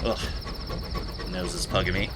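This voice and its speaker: A slightly hoarse man streaming a video game, chatting casually and playfully to himself as he plays. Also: deep voice, steady tone, measured pace, heavy background noise. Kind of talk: casual live gameplay commentary.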